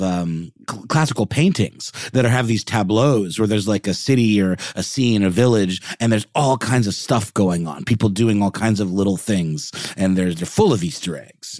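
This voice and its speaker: Low-voiced rambling man co-host. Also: normal speed, few pauses, darkish tone, no room sound, clean studio sound. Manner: rambling, repeats words while thinking. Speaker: podcast co-host. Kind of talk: casual podcast chat.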